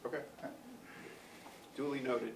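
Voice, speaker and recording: A man's voice: medium pitch, fairly even intonation, slow. A man chairing a town commission meeting, speaking hesitantly with many restarts. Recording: echoey room, distant mic, light noise.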